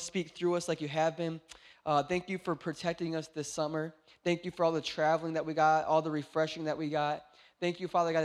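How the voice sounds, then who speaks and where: Joking young man preaching a sermon. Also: fairly high pitch. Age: 20s. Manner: joking, animated storytelling. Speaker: pastor. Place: church.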